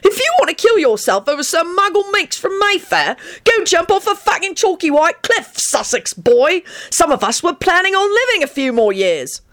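A woman voicing a brash, vain, hot-headed young man in an audiobook story, loud and cocky. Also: high-pitched, wildly swooping pitch, moderate pace, dry recording, clean studio sound, voice pushed up, full character voice. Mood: angry.

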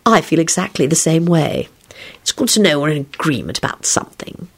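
A woman's voice: sits low, animated, a little fast, slightly rough, heavily acted. A woman voicing a smirking, unruffled man with pleasant sarcasm, in a narrated story. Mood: smug, pleasant.

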